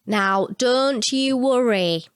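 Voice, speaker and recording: Woman playing a slow, patronising young engineer. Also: on the high side, clear, resonant tone, pitched above the performer's own voice. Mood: patronising reassurance. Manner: louder, slower, over-careful delivery. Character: patronising young male telephone engineer talking to the elderly.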